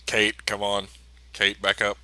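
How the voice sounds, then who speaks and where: A man's voice: fairly low pitch, completely flat delivery, a little slow, crowd noise. A man playing a tabletop role-playing game, casually calling his character's actions and speaking as him.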